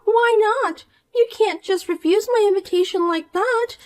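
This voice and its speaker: A woman's voice: very high voice, measured pace, voice pushed up. A woman voicing a vain, spiteful young woman who was once the most popular girl at school, talking down to an old classmate. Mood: taunting. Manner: condescending, insulting, bossy. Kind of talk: animated story dialogue.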